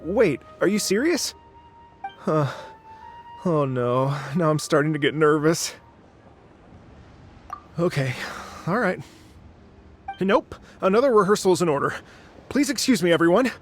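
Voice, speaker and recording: Nervous polite musician dude in a game, highish voice, hesitant, music behind. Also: male voice, animated, a little slow, pauses often. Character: earnest, nervous male musician, polite and easily flustered. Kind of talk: game voice acting.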